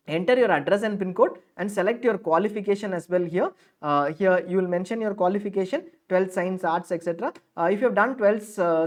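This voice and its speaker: A woman walking viewers through an online application form, explaining each step with frequent fillers and rephrasings. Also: fairly low pitch. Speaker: counselling guide presenter. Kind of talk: screen-share tutorial walkthrough.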